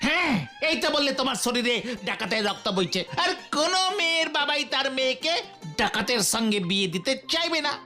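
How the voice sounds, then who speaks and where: A woman voicing an eager young scheming sidekick in a cartoon, excited and emphatic. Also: high voice, a little fast, soft background music. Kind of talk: exaggerated cartoon voice acting.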